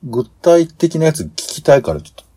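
A man's voice: a little high, big pitch swings, a little fast, mellow, husky. A man in a casual planning discussion as a podcast host, speaking hesitantly as he searches for his words.

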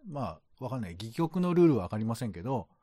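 A man's voice: medium pitch, big pitch swings, fast talker, warm tone, dry recording. A man chatting casually as a co-host, thinking aloud as he gives his impressions. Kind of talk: casual chat.

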